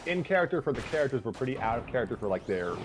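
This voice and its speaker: A man's voice: mid-range voice, big pitch swings, very fast, noisy background. A man hosting a casual group chat, talking in a hesitant, rambling way as he discusses writing. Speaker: host and writer of fan scripts. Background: background music.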